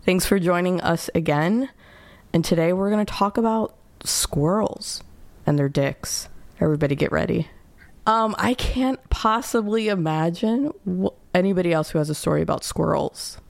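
A woman podcast host with a calming, soft-spoken voice, chatting casually. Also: fairly low pitch, moderate pace, vocal fry, dry recording. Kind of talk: casual chat.

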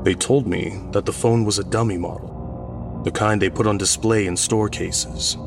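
A low-pitched male voice tells a first-person horror tale as a haunted, guilt-ridden survivor. He phrases it intensely with weighted pauses, while loud music and rain play behind him. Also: fairly even intonation, vocal fry. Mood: bewildered, grim. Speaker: audio fiction narrator.

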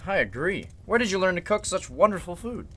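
A man playing a polite, level-headed lawyer in an old-time radio drama, speaking in a measured, slightly formal way. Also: high-pitched, big pitch swings, a bit bright, slight background noise. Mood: sociable.

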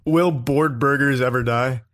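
A man's voice: medium pitch, steady tone, unhurried, a bit bright. A man in his early twenties speaks in a mid-range voice with a dry, sarcastic edge. He teases his friends through casual podcast banter. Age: 20s. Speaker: podcast co-host. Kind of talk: casual chat.

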